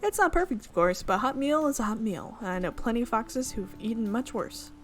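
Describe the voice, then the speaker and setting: A woman's voice: fairly high pitch, expressive, brisk, fairly bright tone, faint music behind. A woman hosting a gaming video, reading a visual novel's narration aloud and often stopping to correct her slips. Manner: reads narration with frequent slips and self-corrections.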